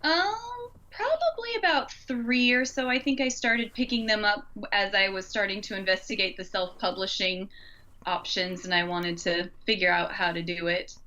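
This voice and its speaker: A woman's voice: mid-range voice, moderate pace, pushed and bright. A woman co-hosting a podcast in an informal chat, thinking aloud, on slightly dull audio. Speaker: podcast co-host.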